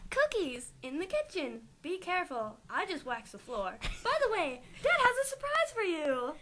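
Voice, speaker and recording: Woman putting on a fussy, high-pitched storybook mom. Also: wildly swooping pitch, normal speed, noisy background, exaggerated voice. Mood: chirpy, nagging. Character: fussy, house-proud suburban mother of a teenager. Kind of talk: amateur dramatic reading of a novel.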